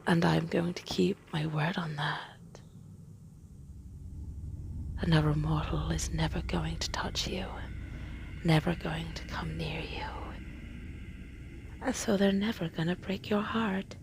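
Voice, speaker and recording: A woman playing a seductive, menacing female demon in a dark roleplay, speaking in a breathy, creaky whisper with a taunting, possessive manner. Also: low-pitched, fairly even intonation, a little hiss or hum. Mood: sly, gloating. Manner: slow, drawn-out, taunting, possessive. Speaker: roleplay audio performer.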